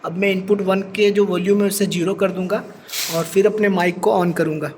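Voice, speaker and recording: Male tutorial presenter, high voice, methodical and repetitive hands-on explanation with English terms mixed in, faint noise behind. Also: fairly even intonation, brisk, a little mellow. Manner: step-by-step, repeats points, mixes English terms into speech. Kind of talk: practical hands-on demonstration.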